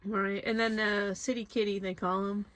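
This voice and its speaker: Bright-voiced woman in casual chat. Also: mid-range voice, level tone, moderate pace, no echo. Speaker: truck driver and vlog host. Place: inside a truck cab.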